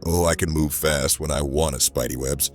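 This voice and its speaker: Very deep, gruff New rock brute. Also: male voice, fairly even intonation, moderate pace, soft background music. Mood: amused. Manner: folksy tough-guy slang, cocky and joking. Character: gruff, wisecracking rock-skinned superhero brawler, big and good-hearted.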